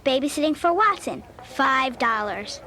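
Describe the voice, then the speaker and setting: A woman's voice: high-pitched, animated, measured pace, sharp tone, heavily acted. An actress playing a timid, earnest young schoolgirl in a scripted audio drama. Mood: eager, businesslike. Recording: noisy old cassette transfer.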